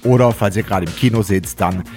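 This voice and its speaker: A man's voice: on the low side, brisk, darkish tone, soft background music. A man hosting a radio show in a chatty way, his nose blocked from a cold. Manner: chatty, friendly announcing. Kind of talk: casual radio moderation.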